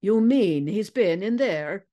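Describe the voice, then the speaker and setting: A woman voicing an incredulous male deputy in a storybook, exclaiming in gruff disbelief. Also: medium pitch, animated, slow pace, warm tone, pitched above the performer's own voice.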